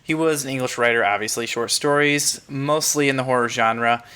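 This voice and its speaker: A man's voice: medium pitch, fairly quick, sharp tone, smooth. A man hosting a podcast, talking casually and reading aloud. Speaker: podcast host. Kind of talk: casual chat and reading a short story aloud.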